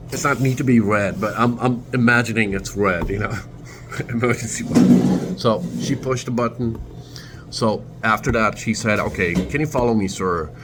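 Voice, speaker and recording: A young man with a Turkish accent talks to the camera in a deep, low voice, stopping and restarting his sentences as he searches for words. Steady background noise runs under his speech. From Turkey. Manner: halting, restarts, self-corrects often. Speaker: vlogger. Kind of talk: casual storytelling. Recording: noisy background ambience.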